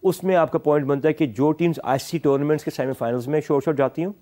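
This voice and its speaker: A man's voice: on the high side, rapid-fire, mellow. A man with a Pakistani accent giving sports talk show analysis in an emphatic, explanatory way, often switching between two languages. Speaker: cricket analyst.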